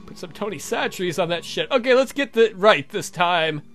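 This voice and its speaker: A man's voice: sits high, lively intonation, fairly quick, fairly bright tone, faint music behind. A man hosting a let's play, reading a visual novel aloud and adding irreverent, crude joking commentary.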